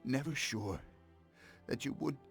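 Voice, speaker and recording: An actor playing a wounded, dying gangster in a video game, bitter and in pain, speaking in halting, broken phrases. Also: male voice, mid-range voice, soft background music. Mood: bitter, resigned. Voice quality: gravelly, creaky. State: in pain, labored breathing. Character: wounded, dying gangster, bitter former friend of the man he addresses. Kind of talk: dramatic video game voice acting.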